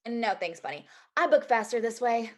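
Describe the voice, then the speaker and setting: A woman's voice: a little high, lively intonation, normal speed, darkish tone, close to an everyday voice. A woman playing a sarcastic, streetwise assistant in her mid-twenties, reading a screenplay with dry delivery. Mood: dry, self-deprecating. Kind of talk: screenplay table read acting.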